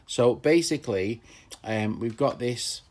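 A man's voice: mid-range voice, lively intonation, unhurried, darkish tone. A man chatting casually and enthusiastically as he shows off old tools, rambling with plenty of fillers. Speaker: tool collector vlogger. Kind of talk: casual show-and-tell narration.

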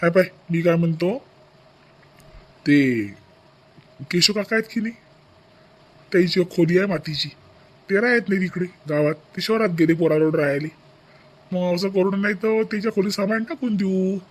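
A man's voice: high voice, moderate pace, pauses often. A man playing an exasperated village husband and son in a comedy cartoon, repeating himself insistently in a Vidarbha Marathi accent.

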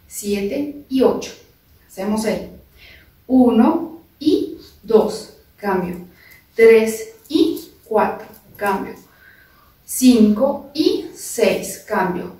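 A young woman, not a native speaker, teaching dance steps with careful, halting phrasing and counted beats, in a large living room. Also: a little high, mellow. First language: not Spanish. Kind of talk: slow step-by-step dance instruction, counting beats. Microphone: far camera. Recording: echoey room from far off.

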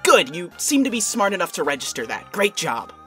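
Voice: mid-range voice, very animated, brisk, pushed and bright, soft background music. An actor voicing an irritable, sarcastic young troll boy who snaps in exasperation in a cartoon comic dub. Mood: exasperated, sarcastic.

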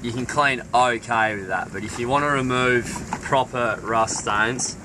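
A man's voice: mid-range voice, a little slow, noisy background. A young man, speaking in a laid-back, plain way as he co-hosts a sailing vlog. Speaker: vlog host. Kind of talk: casual travel vlog talk. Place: on a sailboat outdoors and in its cabin. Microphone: action camera.

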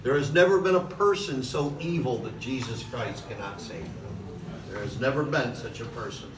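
A middle-aged man preaching a sermon from a podium in a large church hall, passionate, with "okay?" asides and slow emphatic lines. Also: medium pitch, crowd noise. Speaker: pastor. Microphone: far camera in the hall. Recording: echoey hall recorded from a distance with noise.

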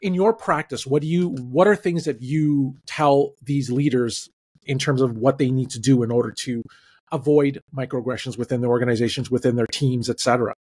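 A middle-aged man hosting a webcast interview on a video call, asking questions in a measured way. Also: mid-range voice, steady tone, a little mellow, breathy edge. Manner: measured, with frequent listening murmurs. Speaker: podcast host. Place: home room. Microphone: headphones on a video call.

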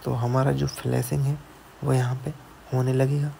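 Gravelly man giving a repair tutorial. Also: mid-range voice, level tone, normal speed, warm tone, slight background noise. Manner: explains step by step, keeps adding "theek hai". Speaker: mobile repair technician. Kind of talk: screen-recorded tutorial narration. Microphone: voice-over on a screen recording.

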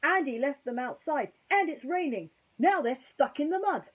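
A woman voicing excitable, alarmed toys in a children's storybook, calling out urgently. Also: high-pitched, moderate pace, pitched above the performer's own voice. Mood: worried.

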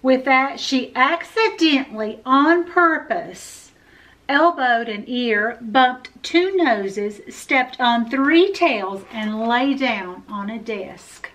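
A middle-aged woman reads a picture book to children as a library storyteller. Her voice is fairly high and she takes her time. The delivery is expressive. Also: slow pace, low-quality recording. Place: indoor room with full bookshelves.

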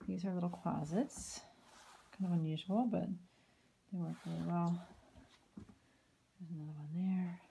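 A woman with a low, gravelly and mellow voice gives a chatty walking tour of a house. Her remarks often drop into soft asides. It is recorded on a handheld phone, with faint ambient noise behind her. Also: steady tone, unhurried, pauses often, poor audio. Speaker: home tour vlogger. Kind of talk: casual walking home tour. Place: inside a house and out in its backyard. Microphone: phone camera held while walking.

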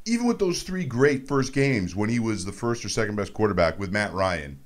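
A man in his thirties with a lowish voice hosts a sports show. He banters casually and teases his co-host, speaking into a studio microphone. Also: lively intonation, normal speed. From Montreal, Canada. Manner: joking, teasing. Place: radio studio. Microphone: close studio mic on boom arm.

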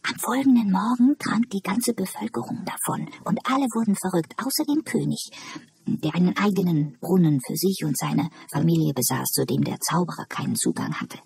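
Husky, musing woman asylum patient, read by a narrator. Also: medium pitch, lively intonation, moderate pace, a little mellow, no room sound, exaggerated voice. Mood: storytelling. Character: woman asylum patient, calm, knowing, philosophizing storyteller.

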